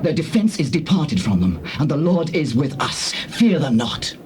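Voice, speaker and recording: Bold, animated exhorter. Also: male voice, a little high, normal speed. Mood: urgent, defiant. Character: bold, faithful man exhorting his people. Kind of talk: dramatized scripture audio drama. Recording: noisy old tape.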